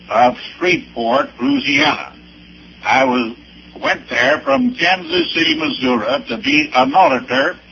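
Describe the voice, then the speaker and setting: Old preacher man, creaky but bright forceful voice, halting storytelling, muffled lo-fi recording with a bit of noise. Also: high voice. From Arkansas, United States. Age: 70s or older. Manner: deliberate, halting, with emphatic spelled-out dates and places. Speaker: pastor. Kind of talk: personal testimony with anecdotes, preaching delivery.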